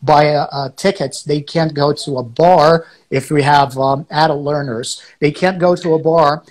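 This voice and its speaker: A man from Brazil, a native Portuguese speaker, co-hosting a teaching podcast and explaining ideas with many pauses and fillers. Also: fairly high pitch, fairly even intonation. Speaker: podcast co-host, English teacher. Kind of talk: casual educational chat.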